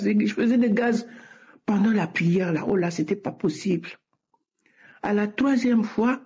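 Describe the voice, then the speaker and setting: A woman's voice: medium pitch, warm tone. A woman giving an earnest spoken religious testimony, often repeating her words. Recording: muffled lo-fi recording.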